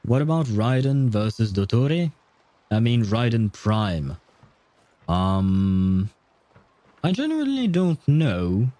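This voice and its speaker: Mellow-voiced man chatting on a livestream. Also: fairly low pitch, very animated, takes it slowly, long pauses, no room sound. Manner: informal, rambling, mild swearing. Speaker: livestream game streamer. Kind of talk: casual livestream chat and commentary. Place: indoor streaming setup, dry. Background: faint game music.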